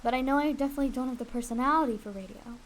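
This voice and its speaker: A woman's voice: sits high, rapid-fire, slight background noise. A young woman chatting casually and candidly on a livestream, rambling along with easy swearing as she games. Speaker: streamer and aspiring voice actress. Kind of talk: casual livestream chat while gaming. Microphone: USB desk condenser mic.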